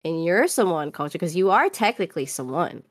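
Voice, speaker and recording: A woman's voice: fairly low pitch, sing-song, brisk, no echo, clean studio sound. A woman from Hawaii who is a podcast guest, chatting casually with lots of "like" and frequent laughs.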